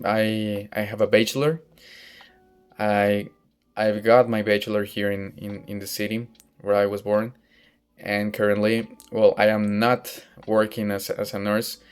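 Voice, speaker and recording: A young man from northern Mexico, a native Spanish speaker with a Mexican accent, talking casually about himself in English and often pausing to search for words. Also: on the low side, clear, resonant tone. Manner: hesitant, searching for words, frequent restarts. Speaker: vlogger practicing English. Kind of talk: casual personal monologue. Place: outdoors in sunlight.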